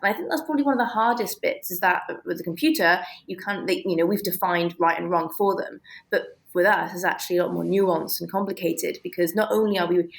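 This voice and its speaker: Woman, interview guest, lowish gravelly voice with vocal fry, thinking aloud, halting explanations. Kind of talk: reflective explanatory interview conversation.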